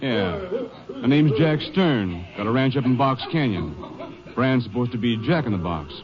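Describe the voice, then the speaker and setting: A man's voice: medium pitch, lively intonation, normal speed, natural voice. A man acting a breezy, defensive cattle rancher in a 1950s radio western, with folksy cowboy talk. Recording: muffled old radio recording.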